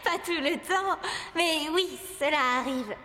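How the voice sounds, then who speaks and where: A woman's voice: very high voice, a little slow, a bit bright. A woman voicing a polite, good-natured young girl who rides horses, in a French cartoon. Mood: calm, earnest. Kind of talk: French animated series dialogue.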